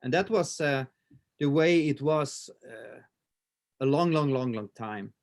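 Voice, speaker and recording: A man in his fifties with a Swedish accent, talking in a casual interview with many pauses, fillers and restarts. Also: medium pitch, gravelly, darkish tone. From Sweden. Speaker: martial arts instructor, guest.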